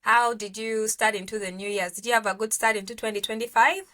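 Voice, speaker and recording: A woman's voice: fairly high pitch, fairly even intonation, fast, pushed and bright. A young woman hosting an interview, reading a polished guest introduction and asking questions in an upbeat way. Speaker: host. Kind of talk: interview host, scripted intro then chat. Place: room with bookshelves.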